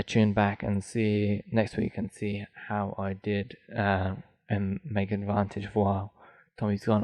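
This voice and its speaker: A man's voice: fairly low pitch, no room sound. A man hosting a solo film podcast, rambling and thinking aloud with many ums, his voice getting dry. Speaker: podcast host.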